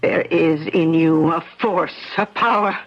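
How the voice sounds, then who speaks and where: A woman's voice: sits low, measured pace. An actress playing a young wife who pleads urgently with her husband. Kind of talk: 1970s radio drama acting. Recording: dull lo-fi radio broadcast.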